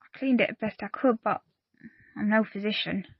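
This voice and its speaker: A woman's voice: mid-range voice, moderate pace, breathy edge, no echo, highs cut off. A woman playing a loyal, plain-spoken pirate crew member in an audio play, telling her story earnestly in old seafaring dialect. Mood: grave, confiding. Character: loyal, plain-spoken pirate crewwoman confiding a grave secret.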